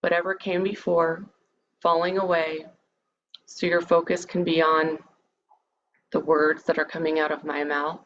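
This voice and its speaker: A woman leading a guided meditation in a soothing, measured, invitational manner. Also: deep voice, flat delivery, fairly bright tone. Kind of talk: guided meditation, part improvised, part read lecture. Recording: dull, lo-fi sound with background noise.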